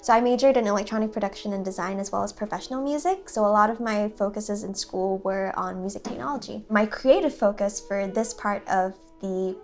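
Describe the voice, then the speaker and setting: A young woman from Singapore, a recent music graduate and dancer, introducing herself clearly. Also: mid-range voice, a little fast, hardly any pauses. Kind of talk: interview. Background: faint music.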